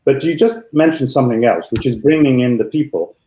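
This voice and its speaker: A man's voice: mid-range voice, fairly quick, warm tone. A man hosting an interview, asking long questions with many hesitations and restarts. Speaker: podcast host, lecturer. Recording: echoey room.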